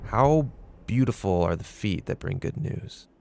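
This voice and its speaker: A man's voice: fairly low pitch, expressive, unhurried, gravelly, a little mellow. A man co-hosting an educational video in a casual, plain-spoken, conversational narration style. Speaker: co-host of an educational Bible video series. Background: background music.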